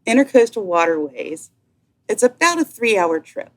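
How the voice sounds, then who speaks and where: A woman's voice: mid-range voice, very animated, moderate pace. A woman speaking as a guest yacht sales representative, chatting casually and enthusiastically as she tells a story. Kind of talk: casual chat, telling an anecdote.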